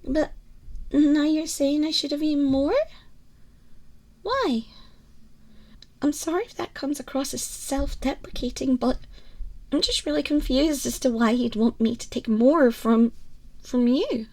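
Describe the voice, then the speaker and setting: A tiny furry creature girl, acted by a woman for an ASMR roleplay, with a very high voice and a slow, halting delivery. She is timid and apologetic, stammering as she pleads her case directly to the listener. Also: dark tone. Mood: confused, resigned.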